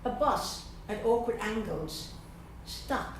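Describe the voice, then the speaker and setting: A woman's voice: a little high, animated, distant mic, slight background noise. Irish woman reading poems in an echoey room. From Dublin, Ireland. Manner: slow, measured reading with pauses; chatty and hesitant between poems. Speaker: guest poet. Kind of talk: poetry reading at a literary evening. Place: event room at a literary gathering.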